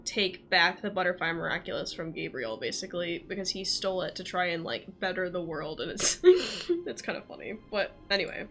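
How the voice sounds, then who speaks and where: A woman's voice: on the low side, very animated, fairly quick, bright, forceful tone, soft background music. A woman game streamer giving casual, rambling livestream commentary in an informal way.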